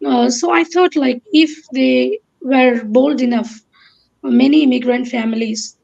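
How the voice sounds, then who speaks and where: A woman in her thirties from Kerala, India, speaking as a guest in an online interview, pausing often with ums and uhs. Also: sits high, gravelly, a little mellow, highs cut off. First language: Malayalam. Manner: hesitant, many ums and uhs.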